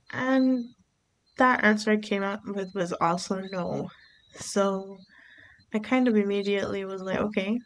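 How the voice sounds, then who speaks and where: A woman from Barbados hosting her own podcast, talking through her thoughts in an unhurried, reflective way. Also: mid-range voice, vocal fry, slight background noise. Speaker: podcast host. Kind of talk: reflective solo podcast monologue.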